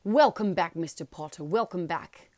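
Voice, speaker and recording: A woman's voice: on the low side, normal speed, a little mellow, no room sound, close to an everyday voice. A woman voicing an emotional, kindly old male bartender in a storybook, speaking with reverence. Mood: tearful welcome.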